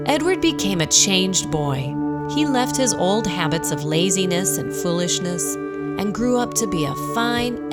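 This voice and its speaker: A woman reads scripted storybook narration for a radio drama. Her mid-pitched voice moves up and down in an animated way, and music plays clearly behind her.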